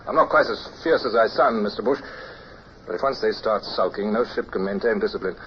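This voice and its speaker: British man, acted, naval officer, low register, measured formal delivery, old radio play, dull hissy recording. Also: close to an everyday voice. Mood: guarded. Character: composed British naval officer, dutiful, reserved narrator of his memoirs. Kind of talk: old-time radio drama acting and narration.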